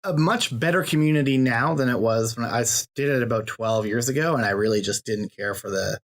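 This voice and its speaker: A man's voice: mid-range voice, fairly quick. A man chatting casually as a podcast guest, wry and dry in his humor.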